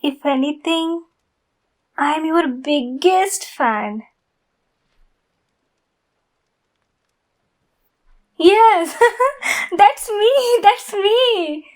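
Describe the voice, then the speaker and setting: High, unhurried female voice for ASMR roleplay: actress as a lovesick stalker fan, soft and cooing, sweetness with menace underneath. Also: heavily acted. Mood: excited, soothing. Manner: soft, cooing, slow and deliberate, often breathy whispering. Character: obsessed flirty yandere woman fan, sweetly menacing stalker. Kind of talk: intimate ASMR roleplay acting.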